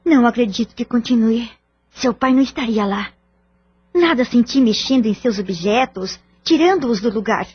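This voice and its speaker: A woman playing a composed, firm middle-aged mother in an audio drama, speaking in a deliberate, theatrical way. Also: fairly high pitch, animated, husky. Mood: reassuring. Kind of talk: Portuguese-language audio drama acting. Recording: muffled, lo-fi audio drama track.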